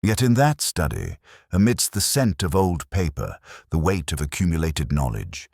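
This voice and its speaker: Casting: male actor as contemplative, observant detective narrator for a mystery audio drama; extremely low register, varied intonation, measured literary delivery. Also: big pitch swings, vocal fry, a little mellow, breathy edge, no room sound, clean studio sound, heavily acted. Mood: pensive, quietly grave. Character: pensive, observant male detective recounting his case. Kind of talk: scripted first-person detective narration.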